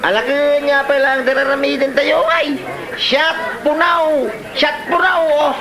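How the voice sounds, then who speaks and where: A man with an Ilocano accent acting a cheerful, boastful drinker in a comedy drama, with drawn-out exclamations. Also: very high voice, steady tone, creaky, crowd noise, heavily acted. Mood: boisterous.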